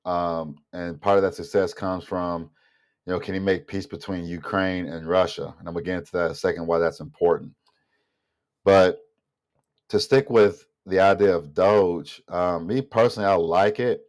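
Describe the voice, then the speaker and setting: Deep-voiced, nasal man talking casually. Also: fairly even intonation, normal speed. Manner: rambling, thinking aloud. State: congested from a mild illness. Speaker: vlogger. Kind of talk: casual opinion monologue to camera.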